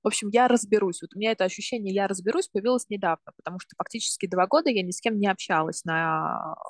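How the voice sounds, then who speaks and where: A woman's voice: mid-range voice, no echo. Thoughtful young woman, dry close sound. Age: 20s. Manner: thoughtful, explains step by step, often pauses to rephrase. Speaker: podcast guest, university student. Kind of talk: casual explanatory talk.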